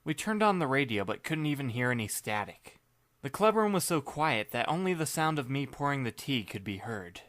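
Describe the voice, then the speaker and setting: A man's voice: mid-range voice, very animated, normal speed, a bit bright, dry recording. Dry, wry young male narrator. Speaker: narrator and lead reader. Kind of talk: first-person audiobook narration.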